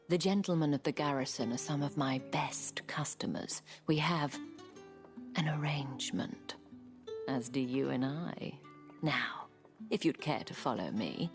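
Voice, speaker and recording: A sly, self-assured madam in a game cutscene, speaking very low and slowly. She teases in genteel, breathy half-whispers, with music behind her. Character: sly, elegant madam of a pleasure house, teasing and self-assured. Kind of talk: video game cutscene acting.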